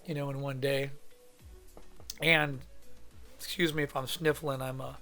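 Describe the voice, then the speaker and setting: Hoarse man with a cold, gravelly voice. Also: medium pitch, level tone, a little slow, sharp tone, soft background music. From California. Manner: rambling, thinking aloud with many fillers. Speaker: art instructor. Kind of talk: casual narrated drawing demo. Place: home studio.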